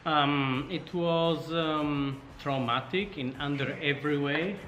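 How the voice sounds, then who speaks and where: A man's voice: on the high side, takes it slowly, fairly bright tone, highs cut off. A man from Sardinia with an Italian accent, casually telling his story as an interview guest. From Sardinia, Italy. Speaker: startup co-founder, interview guest. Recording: echoey room, faint background noise.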